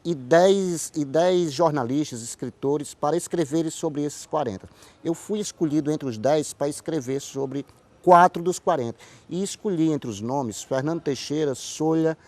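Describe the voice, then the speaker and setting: A man's voice: a little high, moderate pace, darkish tone. Northeastern Brazilian man telling about his work. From Paraíba, Northeast Brazil. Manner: repeats words and phrases for emphasis. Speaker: writer and playwright, interview guest. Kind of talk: conversational interview answers, storytelling.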